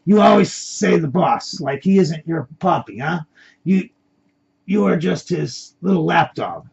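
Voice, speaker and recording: Man acting a loud, hot-headed masked thug, husky. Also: fairly high pitch, lively intonation, measured pace, voice pushed up, heavily acted. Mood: furious. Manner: loud, profane, taunting. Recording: dull lo-fi recording.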